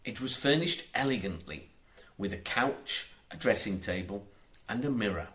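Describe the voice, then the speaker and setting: A man narrating a children's storybook in a measured, deliberate and expressive way. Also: on the low side. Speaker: audiobook narrator.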